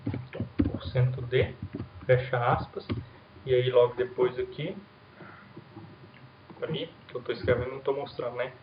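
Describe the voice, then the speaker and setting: A man's voice: mid-range voice, fairly even intonation, normal speed, pauses often. Male instructor, casual and explanatory, keeps checking in with "right?". Echoey room, muffled lo-fi capture. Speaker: programming instructor. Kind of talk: recorded coding lesson with screen demo. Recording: roomy, dull lo-fi sound, faint hiss.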